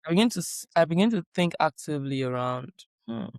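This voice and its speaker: A woman guest in a conversational interview, reflective and calm, repeating her key phrases for emphasis. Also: sits low, fast talker, dry recording, studio quality. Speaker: guest, doctoral researcher.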